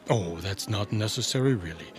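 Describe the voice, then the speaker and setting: An actor playing a nervous hotel concierge in a radio drama, hesitant, polite and eager to defer. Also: male voice, lowish voice, normal speed, background noise, natural voice. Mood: flustered, deferential.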